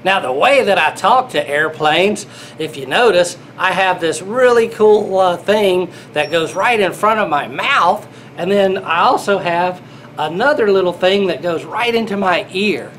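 Middle-aged man, unhurried picture-book reading, playful child-directed delivery, exclamations, noticeable background noise. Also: high-pitched, animated, fairly bright tone. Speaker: grandfather reading to children, air traffic controller. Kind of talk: children's picture-book read-aloud with commentary. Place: small indoor airport control room.

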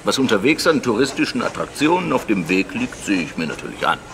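An actor playing a genial, self-assured, worldly American adventurer in a German radio play. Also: male voice, lowish voice, sing-song, fast talker, background noise. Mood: intriguing, genial.

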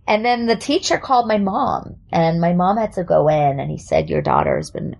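A woman's voice: on the low side, sing-song, normal speed, vocal fry, a little mellow, slight background noise. A woman from Germany raised in New York, with a light New York accent, telling stories as a podcast guest and laughing often. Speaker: podcast guest, comedian and actor. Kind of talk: casual storytelling chat.

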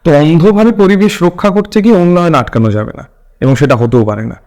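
A man with a West Bengal accent interviewing conversationally, phrasing things in a halting, roundabout way. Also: fairly high pitch, sing-song, a little mellow.

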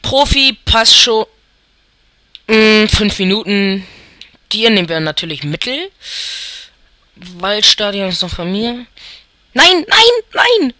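Slow, bright-voiced young gamer guy. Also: high-pitched, sing-song, no room sound. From Germany. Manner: rambling, thinks aloud, chuckles often. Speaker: let's player. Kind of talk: casual gameplay commentary.

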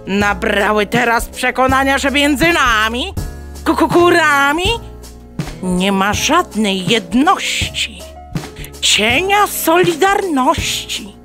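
A woman's voice: high-pitched, pushed and bright, heavily acted. An actress playing a bossy old mother hen in a children's radio play, scolding sternly with stuttering clucks inside her words.

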